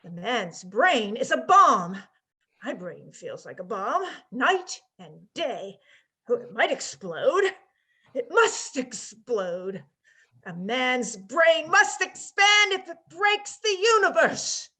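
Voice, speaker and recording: Fanatical anarchist secretary played by a woman, formal and declamatory, high and forceful, slow with a rough edge. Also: very animated, exaggerated voice. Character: fervent, pedantic male anarchist secretary. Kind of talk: radio play read aloud. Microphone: video call.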